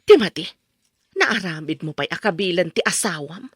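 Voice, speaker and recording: A woman's voice: on the low side, lively intonation, normal speed, husky, dry recording, clean studio sound. A woman playing a stern but supportive middle-aged mother in an audio drama, firm and lecturing, with an Ilocano accent. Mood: angry, firm.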